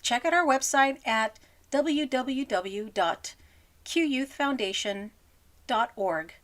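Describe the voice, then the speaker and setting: A woman's voice: high-pitched, takes it slowly, a bit bright. A woman, a foundation director, reading a scripted thank-you and donation appeal. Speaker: foundation executive director giving an announcement. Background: music.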